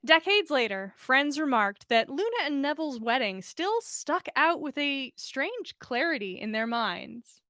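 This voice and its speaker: A woman's voice: high-pitched, sing-song, measured pace, few pauses, sharp tone, dry recording. A woman hosting a podcast livestream, reading stories aloud and chatting casually. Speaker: podcast host and story reader. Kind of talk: fan fiction reading and casual livestream chat.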